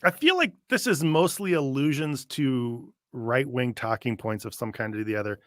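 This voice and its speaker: Male co-host for casual analytical commentary; bright, forward voice, dry close sound. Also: medium pitch, animated, moderate pace, no echo. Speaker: reaction show co-host.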